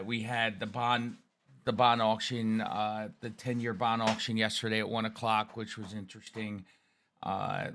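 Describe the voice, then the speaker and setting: A man with a hoarse, raspy voice from a cold, giving energetic, folksy live market commentary. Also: lowish voice. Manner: energetic, folksy, lots of fillers. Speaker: trading show guest.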